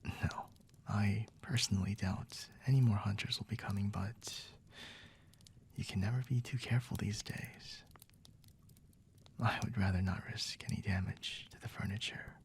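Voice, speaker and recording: A man voicing a smug, flirty vampire lord in an intimate ASMR roleplay, breathy and husky, often whispering, with a teasing, condescending, refined manner. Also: on the low side, steady tone, normal speed, natural voice. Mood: calm, commanding.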